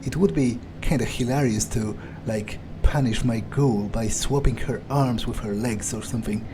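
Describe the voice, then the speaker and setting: A man's voice: mid-range voice, moderate pace, background noise. A man doing in-character game commentary, thinking aloud in a musing, hesitant, casually sweary way. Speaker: video game player narrating in character.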